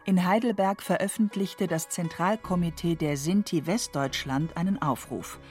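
A woman narrates a documentary in a calm, measured read, her voice sitting fairly low. A soft music bed plays faintly beneath her. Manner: measured, even reading.

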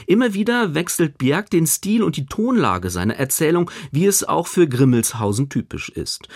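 A man's voice: a little high, dry recording, clean studio sound. A man reading a scripted radio book review as a literary critic, articulate, formal and emphatic.

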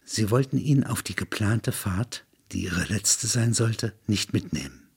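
Deep-ish guy narrating a story, really raspy husky voice. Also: animated, unhurried, a little mellow. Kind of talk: scripted literary narration.

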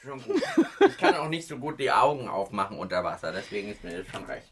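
A man's voice: on the low side, very animated, fast talker, fairly bright tone, a little hiss or hum. A man co-hosting a children's science show in casual banter, with hesitant, muttered asides. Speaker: co-host and test subject on children's science show.

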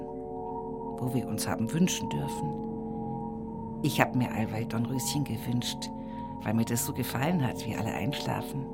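An older country widow with a low voice and a slow pace. She speaks, confiding and musing at her mother's bedside. It is an intimate radio play monologue with music underneath. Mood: wistful, musing. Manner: confiding, musing, earthy, often dropping to a hushed murmur. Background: music behind the voice.

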